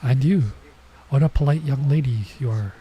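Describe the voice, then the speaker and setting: A man's voice: mid-range voice, normal speed, slightly rough, dull audio. A man voicing a friendly, teasing guesthouse keeper in a visual novel, in his own stuffy-nosed voice. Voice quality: stuffy nose. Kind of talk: reading game dialogue aloud.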